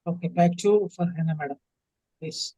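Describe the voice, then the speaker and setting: A man with an Indian accent giving instructive feedback as a speech evaluator, halting and repeating his phrases. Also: fairly high pitch, warm tone, no room sound. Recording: dull online meeting audio.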